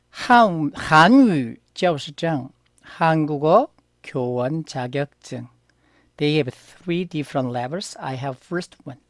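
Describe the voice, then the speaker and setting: A middle-aged man from Korea with a Korean accent, giving a beginner language lesson in English. He talks slowly and carefully, stresses key words and asks his learners questions. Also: fairly high pitch, no echo, studio quality.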